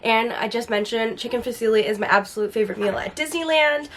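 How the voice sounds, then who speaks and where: Slightly raspy chatty woman vlogger. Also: fairly high pitch, lively intonation, fast talker, fairly bright tone, a little hiss or hum. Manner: chatty, enthusiastic, frequent "like" fillers. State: recovering from a flu, a bit hoarse. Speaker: lifestyle vlogger. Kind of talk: casual Q&A vlog.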